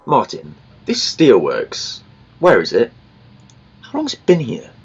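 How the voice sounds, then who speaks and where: A man's voice: mid-range voice, wildly swooping pitch, slow, slightly rough, dull audio, heavily acted. A man playing an eccentric, impatient alien time traveler in an audio drama, with a flustered, blunt delivery. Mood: urgent.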